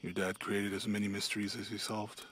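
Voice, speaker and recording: An actor playing a gentle, guilt-ridden man in his thirties, a loyal companion in a video game, speaking softly and haltingly. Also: fairly low pitch, little pitch movement, slight background noise, close to an everyday voice. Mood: tender, thoughtful. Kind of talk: intimate video game cutscene dialogue.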